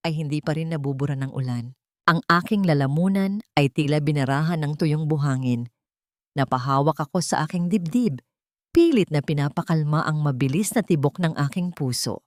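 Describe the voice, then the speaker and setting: Low-pitched woman narrating a dramatic story. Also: darkish tone, no echo, studio quality. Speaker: audiobook narrator. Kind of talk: dramatic first-person scripted narration.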